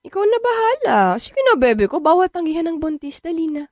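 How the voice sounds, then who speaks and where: A young Filipino woman in a radio drama, high-pitched and very animated. She is coquettish and pushy, wheedling and teasing playfully in a dry studio sound. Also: fairly quick, no room sound, exaggerated voice.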